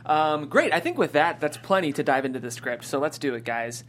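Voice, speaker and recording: A man's voice: on the high side, animated, fairly quick, a bit bright, a little hiss or hum. A man hosting a script-reading show, presenting guests and reading out stage directions as a narrator. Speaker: host of a table-read show, also reads stage directions.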